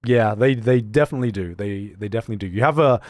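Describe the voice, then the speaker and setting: A man's voice: on the low side, no room sound. A young man with a mixed, slightly British-tinged accent, chatting casually on a livestream and reading viewer messages, laughing often and restarting his sentences. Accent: mixed, slightly British-tinged English. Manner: animated, laughing often, many quick restarts. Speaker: streamer.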